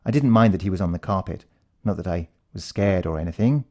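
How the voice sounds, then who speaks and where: A British man reads a first-person story with suspense and drama. His voice is on the low side and notably dark and soft-edged. The intonation moves widely and expressively. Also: lively intonation, fairly quick. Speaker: audiobook narrator.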